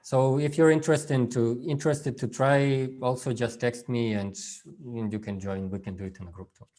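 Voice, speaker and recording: A man whose first language is Russian gives an informal workshop over a video call, speaking haltingly with many fillers and restarts. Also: medium pitch, steady tone, darkish tone. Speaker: workshop presenter. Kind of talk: informal online workshop talk.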